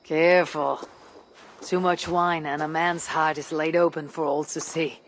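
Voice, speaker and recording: An actor playing a steadfast, earnest Viking warrior in a video game, speaking in a measured, solemn way. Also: male voice, fairly high pitch, level tone, a little hiss or hum. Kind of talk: dramatic video game acting.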